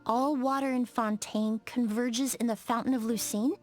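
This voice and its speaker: Game role: actress as refined young woman perfumer, higher-pitched, exacting and courteous, measured diction, music underneath. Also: steady tone, a little mellow, lightly acted. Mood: calm, reflective. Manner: measured, articulate, politely blunt. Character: poised, precise, polite young woman perfumer. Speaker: game character voice actor. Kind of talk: scripted video game dialogue.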